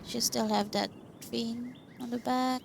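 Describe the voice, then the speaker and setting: Girl gamer chatting to herself while playing, slow pace, lots of vocal fry, game music going. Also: fairly high pitch, steady tone, slight background noise. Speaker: gaming streamer. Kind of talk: casual game playthrough commentary.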